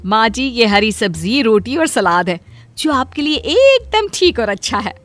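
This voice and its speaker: Woman acting a high-voiced coaxing elder daughter-in-law. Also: sing-song, unhurried, a bit bright, soft background music. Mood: coaxing, eager. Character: dutiful-seeming, excuse-making elder daughter-in-law. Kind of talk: Hindi moral cartoon story voice acting.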